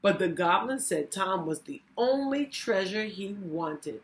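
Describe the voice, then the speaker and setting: A woman narrating a children's story as a reading teacher, deliberate and theatrical in her phrasing. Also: mid-range voice, expressive. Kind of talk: expressive children's read-aloud narration.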